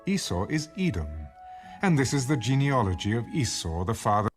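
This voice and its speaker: A man giving a formal, measured scripted narration of scripture, as in an audio Bible. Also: medium pitch, very animated. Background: background music.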